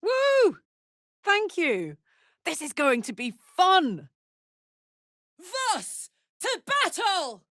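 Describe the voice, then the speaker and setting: A woman's voice: very high voice, wildly swooping pitch, extremely slow, long pauses, a bit bright, no room sound, studio quality, heavily acted. A woman playing a brash, big-hearted female warrior in a video game, speaking in an earnest, blunt and slangy way. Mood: fired up.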